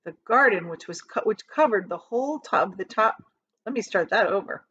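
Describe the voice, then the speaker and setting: A woman reading a children's storybook aloud as a teacher would, with dramatic emphasis and drawn-out key words. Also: medium pitch, lively intonation, a bit bright, slightly rough, muffled recording.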